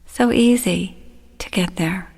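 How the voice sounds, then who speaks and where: A woman leading a guided relaxation, speaking soothingly and gently in short, unhurried phrases. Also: medium pitch, vocal fry, husky.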